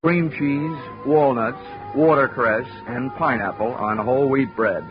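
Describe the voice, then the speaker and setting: Actor as wry radio detective, muffled old broadcast. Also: male voice, mid-range voice, unhurried, close to an everyday voice. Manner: dry, wry, assured. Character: wry, wisecracking male insurance investigator narrating his case. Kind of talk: 1940s hardboiled radio narration. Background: music.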